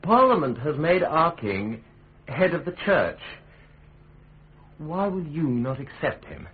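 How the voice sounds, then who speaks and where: An actor playing a nervous, evasive witness giving hesitant, subdued testimony in a radio drama. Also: male voice, a little high, big pitch swings, slow, phone-quality sound. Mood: nervous, reciting. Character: uneasy, evasive male witness, ambitious perjurer.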